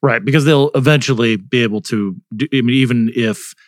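A man's voice: medium pitch, fairly quick, no echo, studio quality. A man hosting a conversational political news discussion, asking questions in a rambling, self-interrupting way. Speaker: host.

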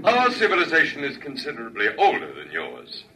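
An actor playing a dignified alien lecturer in the guise of an elder gentleman, speaking formally and grandly in a classic radio drama. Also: medium pitch, huge pitch swings, fairly quick. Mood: lofty explaining. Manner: stately, formal, reassuring, grandiose. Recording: distant-miked vintage radio recording.

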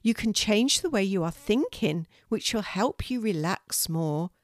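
A woman voicing a kind young girl who speaks gently and soothingly. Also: medium pitch, expressive, a little slow, dry recording, close to an everyday voice.

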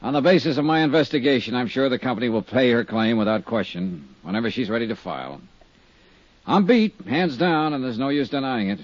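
An American actor playing a world-weary hardboiled insurance investigator in a 1950s radio drama, with clipped, dryly emphatic delivery. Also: male voice, mid-range voice, lively intonation, brisk, no echo, close to an everyday voice. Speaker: radio drama lead and narrator. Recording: muffled vintage 1950s radio recording.

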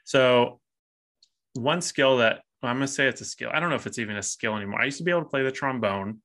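A man in his thirties co-hosts a relaxed podcast conversation. His voice is bright and forceful at a middle pitch. He tells stories with animation and laughs easily. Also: quick pace. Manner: chatty, laughs often, animated storytelling. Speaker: podcast co-host. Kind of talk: casual chat.

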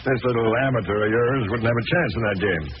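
Actor as a gruff police commissioner, muffled radio. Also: male voice, medium pitch, fast talker, crowd noise, lightly acted. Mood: dismissive, impatient. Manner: brusque, skeptical. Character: gruff, busy, dismissive male police commissioner. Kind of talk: 1940s American radio drama acting. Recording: muffled, noisy vintage radio recording.

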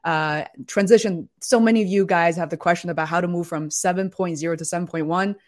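A middle-aged woman hosting a live interview, enthusiastic and a bit halting with fillers. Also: on the low side. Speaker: host.

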